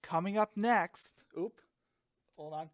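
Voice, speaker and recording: A woman's voice: fairly low pitch, slow, dry recording, clean studio sound. A woman announcing what is coming up on a live radio show.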